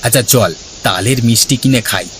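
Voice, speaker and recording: A man's voice: medium pitch, moderate pace, a bit bright, slight background noise, lightly acted. A man voicing a brave, curious village youngster in a cartoon, confident and reassuring. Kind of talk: cartoon character dialogue.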